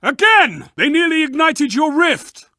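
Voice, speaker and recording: A theatrical game arena announcer, with a high, very animated voice and a forceful edge. He fires off short, savoring, dramatic calls to spur fighters on, in a dry close recording. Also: unhurried, no echo, heavily acted. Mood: excited, relishing. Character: theatrical, fervent male arena match announcer urging on fighters. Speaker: match announcer.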